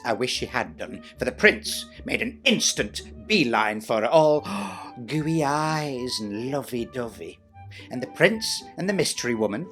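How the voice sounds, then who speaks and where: A man's voice: medium pitch, fairly bright tone. A man voicing a vain, jealous pantomime step-sister with a British accent, telling a story theatrically with snide, self-important, chatty asides. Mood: jealous, sarcastic.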